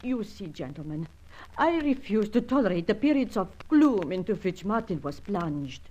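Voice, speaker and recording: An anguished, melodramatic European wife. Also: female voice, medium pitch, big pitch swings, normal speed, warm tone, lo-fi sound. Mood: tense, confiding. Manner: melodramatic, formal, careful phrasing. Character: distressed European wife of a dead man, fearful, confiding. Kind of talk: old radio adventure serial acting.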